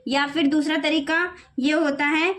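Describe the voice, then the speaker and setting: A woman teaching spoken English to children, slowing right down to model each phrase and often checking in with "okay, children.". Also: high-pitched, level tone. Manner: slows down sharply to model English phrases word by word; repeats phrases and keeps saying "okay, children". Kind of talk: classroom-style lesson in Hindi.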